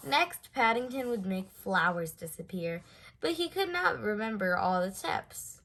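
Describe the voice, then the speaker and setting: A woman reading a children's story aloud in an expressive way, stressing words for effect. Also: mid-range voice, unhurried, slight background noise, rough recording. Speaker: storybook reader.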